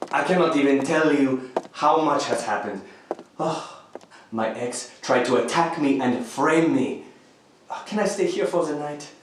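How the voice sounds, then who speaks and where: A man's voice: a little high, unhurried, slight background noise, natural voice. A man acting in a radio drama as a needy, evasive houseguest, hesitant and over-familiar. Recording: very echoey room, music behind.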